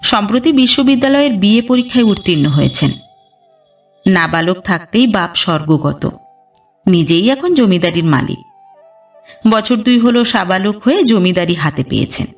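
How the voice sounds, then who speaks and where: A woman's voice: medium pitch, animated, normal speed, far from the mic. Woman narrating a story over music. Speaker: audiobook narrator. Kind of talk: scripted literary story narration. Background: background music.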